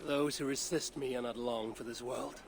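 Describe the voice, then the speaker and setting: An actor playing a haughty, menacing warrior god who commands and boasts in a video game cutscene. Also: male voice, mid-range voice, steady tone, moderate pace, gravelly, natural voice.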